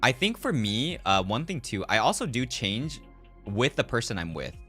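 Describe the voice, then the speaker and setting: A man's voice: medium pitch, sing-song, moderate pace, pushed and bright. A man who streams as a virtual character, chatting casually to live viewers in a rambling, reflective way and often repeating his own phrases. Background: background music.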